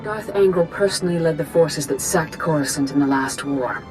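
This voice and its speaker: An actress playing a wise, stern mystic knight master in a video game, measured and authoritative. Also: female voice, deep voice, close to an everyday voice. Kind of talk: video game character acting. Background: music.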